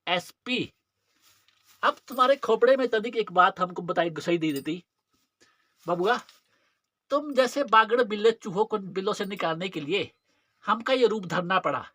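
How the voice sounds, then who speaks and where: A man's voice: high voice, expressive, moderate pace, pauses often, sharp tone, no echo, exaggerated voice. A man playing a menacing, cocky police inspector in a dramatic monologue, with a rustic eastern Hindi-belt accent, mocking and drawling, throwing out rhetorical questions. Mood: mocking, boastful.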